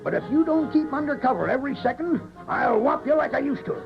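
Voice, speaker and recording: Feisty Western rancher dad, high creaky voice, folksy drawled orders, muffled old radio recording. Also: male voice, animated, quick pace, heavily acted. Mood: urgent, bossy. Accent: rural Western American. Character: feisty, bossy rancher father defending his land. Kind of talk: 1940s radio western acting. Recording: dull vintage radio, music and crowd noise.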